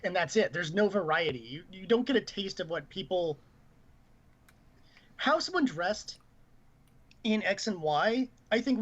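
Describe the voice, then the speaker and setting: A man's voice: high-pitched, muffled recording. A man chatting casually and at length as a gaming podcast co-host, full of opinions. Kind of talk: casual panel chat about video games.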